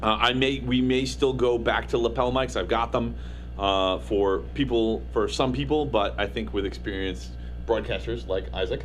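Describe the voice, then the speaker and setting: A man's voice: medium pitch, moderate pace, a little hiss or hum. A man hosting a casual tech podcast, rambling with many ums and jokey asides, speaking into a tabletop mic. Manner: rambling, full of ums and jokes. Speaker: podcast host. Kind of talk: casual chat.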